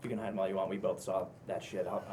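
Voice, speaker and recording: A man's voice: low-pitched, flat delivery, fast talker, background noise, lightly acted. A man playing a teasing, supportive roommate in a casual comedy-drama script read, sounding conversational and playfully sassy. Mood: grossed out, teasing. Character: Max, exasperated, teasing, supportive male roommate and friend. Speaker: actor at a table read.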